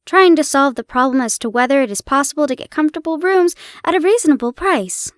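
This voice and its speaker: Tired army doctor back from war, measured and earnest, played by a woman, high voice. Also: lively intonation, no echo, clean studio sound. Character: weary male army doctor, seeking quiet lodgings.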